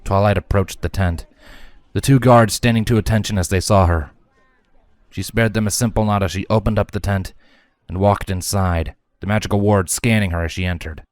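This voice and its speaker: Low-pitched man narrating a story. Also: fairly even intonation, moderate pace, no echo. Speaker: fan fiction narrator.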